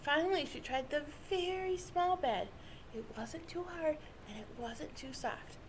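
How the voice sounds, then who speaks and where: A woman reading a children's story aloud with expressive, dramatic narration. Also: high voice, a little slow, background noise. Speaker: storybook reader.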